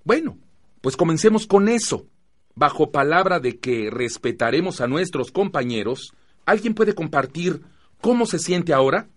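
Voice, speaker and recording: A Mexican man playing an earnest, firm youth-group facilitator in a radio drama, speaking in a measured, didactic way. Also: a little high, big pitch swings. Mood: encouraging, patient. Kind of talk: Spanish-language radio drama acting.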